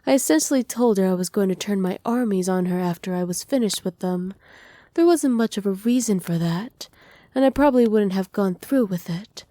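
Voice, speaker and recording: A woman voicing a doting, possessive evil witch in an intimate ASMR roleplay, speaking softly and teasingly, often slipping into breathy whispers. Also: mid-range voice, brisk, no echo, lightly acted. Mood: smug, satisfied. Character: doting, possessive evil witch pampering her captive pet. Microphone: close microphone, dry.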